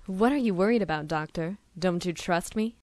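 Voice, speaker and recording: A woman's voice: lowish voice, moderate pace, darkish tone, dry recording, lightly acted. A woman playing a calculating, self-assured female scientist villain in an anime dub, with composed, coaxing delivery. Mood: teasing, coaxing. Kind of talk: dramatic anime dub acting.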